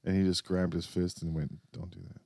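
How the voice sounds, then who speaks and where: A man's voice: deep voice, flat delivery, a little fast, darkish tone, no room sound, studio quality. A man doing casual comic banter, riffing wryly in short quips like a comedian among friends. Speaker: comedian, podcast co-host.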